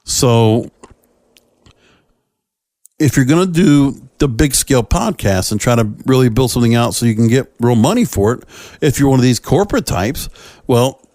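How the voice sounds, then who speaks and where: A man's voice: mid-range voice, animated, normal speed, long pauses, dark tone, dry recording, studio quality. A man hosting a solo podcast, giving opinionated commentary in a conversational, emphatic way and addressing listeners directly. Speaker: podcast host.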